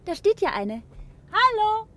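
A self-assured lady traveller in an old radio play. Her voice is high and swings freely in pitch. She speaks briskly and breezily. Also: sing-song, bright, forceful tone, exaggerated voice. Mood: breezy, independent. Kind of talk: 1960 German radio play acting.

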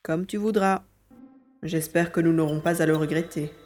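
A woman's voice: deep voice, steady tone, moderate pace, darkish tone, faint music behind, natural voice. Woman acting cautious, worried mission partner. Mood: anxious. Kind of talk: audio drama dialogue.

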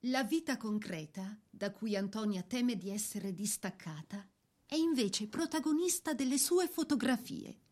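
A woman narrating a scripted literary biography for a radio drama in a measured, literary delivery. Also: fairly high pitch, animated, a little mellow.

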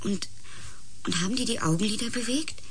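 A woman's voice: medium pitch, fairly quick, heavy background noise, close to an everyday voice. An actress in a German radio play as a sensible but uneasy housewife on the telephone, hesitating and repeating words as she reasons. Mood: calm, probing.

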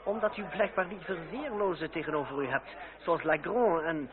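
Actor as sardonic, high-voiced young revolutionary swordsman. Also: moderate pace, lightly acted. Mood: mocking. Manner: formal, coolly mocking, ironic politeness. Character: sardonic young revolutionary deputy and deadly fencing master. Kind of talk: period radio play acting. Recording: tinny narrowband old radio drama, crowd murmur.

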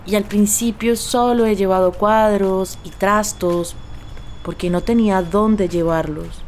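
A woman artist giving unhurried conversational testimony about her own experience. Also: medium pitch, steady tone, darkish tone, a little hiss or hum.

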